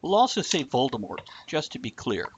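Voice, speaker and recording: Higher-pitched man, muffled noisy live stream. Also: sing-song, a little fast. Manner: irreverent, swears casually. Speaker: live show host. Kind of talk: casual live-stream chat. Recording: muffled, with clear background noise.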